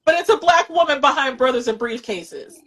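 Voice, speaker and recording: A woman's voice: high-pitched, animated, brisk, fairly bright tone. A woman in her fifties hosting a live shopping stream, chatting casually and rambling with lots of fillers. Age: 50s. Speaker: livestream shopping host.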